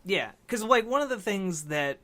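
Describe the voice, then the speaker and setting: A man's voice: sits high, sing-song, normal speed, a crowd in the background. A man in casual conversation as a podcast co-host, explaining things informally and a little tentatively. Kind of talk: casual discussion of games.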